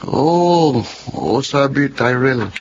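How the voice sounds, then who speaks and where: A man's voice: medium pitch, drawn-out words. A man playing a hesitant, troubled young son in a radio drama. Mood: drunk, weary. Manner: hesitant, pleading. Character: anguished, obedient young man torn between love and mother. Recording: muffled, clearly noisy.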